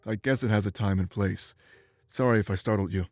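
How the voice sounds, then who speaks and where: A man playing a calm, reformed former inquisitor in a fantasy game, speaking in a measured, apologetic way. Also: lowish voice, no room sound, close to an everyday voice. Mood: calm, contrite. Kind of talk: visual novel character voice acting.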